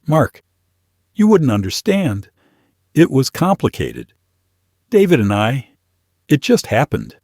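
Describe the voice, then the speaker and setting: A man's voice: mid-range voice, wildly swooping pitch, takes it slowly, pauses often, a little mellow, no room sound, studio quality, voice pushed up, heavily acted. A man voicing a panicked, evasive wife who pleads her case in an audio story. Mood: defensive. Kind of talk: audio story character dialogue.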